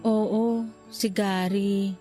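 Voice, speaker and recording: An actress with a Filipino accent playing a quiet, anxious young office woman in a radio drama. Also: medium pitch, little pitch movement, extremely slow, soft background music. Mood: shy, friendly.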